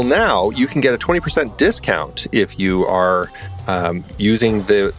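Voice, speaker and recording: Enthusiastic low-voiced male co-host. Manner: enthusiastic, rambling, filler-heavy. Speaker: podcast co-host. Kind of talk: casual chat with ad read.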